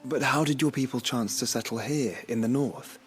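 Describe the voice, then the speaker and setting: A man's voice: medium pitch, moderate pace, faint music behind, close to an everyday voice. Scholarly male companion actor: middle register, courteous, eloquent, thoughtful, fantasy game drama. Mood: courteous, curious. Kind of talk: dramatic video game cutscene acting.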